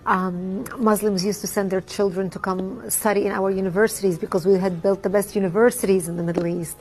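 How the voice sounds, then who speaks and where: A woman, giving a measured, earnest personal testimony with frequent ums. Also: medium pitch, steady tone, a little mellow. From Lebanon. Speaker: interviewee.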